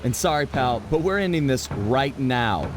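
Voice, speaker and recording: Man as a lively, cool young thief hero. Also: medium pitch, normal speed, background noise, close to an everyday voice. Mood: defiant. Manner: wry, casual, self-assured. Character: cool, confident young male animal master thief hero. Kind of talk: video game hero acting. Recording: music behind the voice.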